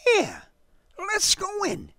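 A man's voice: extremely high pitch, huge pitch swings, drawn-out words, pushed and bright, no echo, pitched above the performer's own voice, full character voice. A man voicing a curious, unworried young boy in a story, matter-of-fact and a little dismissive.

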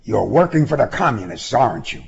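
A man's voice: medium pitch, sing-song, fairly quick, gravelly, husky, muffled recording, full character voice. A man playing an indignant, hot-tempered young driver in a told story, with a blunt, accusing and explosive manner.

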